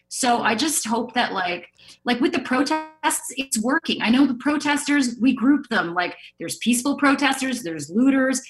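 A woman's voice: medium pitch, poor audio. A woman speaking emphatically and casually as a panelist in an impassioned discussion. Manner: emphatic, outspoken, casual swearing.

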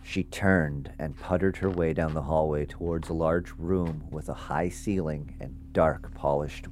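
A man's voice: extremely low pitch, darkish tone, slight background noise. An actor playing a ghost hunter who narrates his investigation in a scripted first-person audio drama.

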